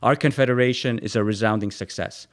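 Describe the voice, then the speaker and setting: A man's voice: fairly low pitch, fast. A man co-hosting a podcast, insistently defending his view in a political debate. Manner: earnest, insistent, defends his points firmly. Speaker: podcast co-host.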